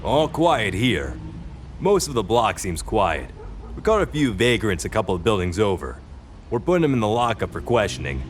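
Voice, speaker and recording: Easygoing patrol cop, lively intonation. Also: male voice, on the low side, moderate pace, slight background noise. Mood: casual, matter-of-fact. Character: easygoing male patrol officer giving a report. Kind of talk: crime audio drama dialogue.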